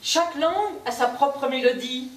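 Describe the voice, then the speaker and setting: A woman performer giving a measured theatrical recitation of testimonies on a stage. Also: high-pitched. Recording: echoey stage, distant mic, faint noise.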